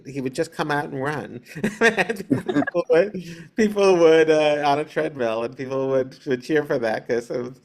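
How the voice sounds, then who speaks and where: A man speaking as an interview guest, explaining casually and haltingly, with many pauses. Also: fairly high pitch. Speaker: theater director, interview guest.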